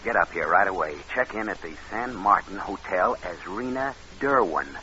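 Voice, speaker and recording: A calculating killer who tells his own story in a low, cold voice. It is vintage radio thriller acting, with a scheming edge. The recording is dull and heavy with noise. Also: animated, normal speed, sharp tone. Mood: scheming, urgent.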